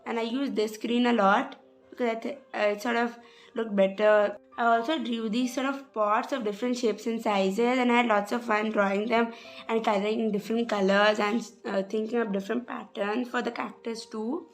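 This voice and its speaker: A young woman with a slightly rough voice, hesitantly walking through her journal pages in a casual voice-over. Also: on the high side, steady tone, normal speed, faint music behind. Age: young adult. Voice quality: hesitant, many "sort of" fillers. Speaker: journal vlogger. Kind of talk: casual craft walkthrough voice-over.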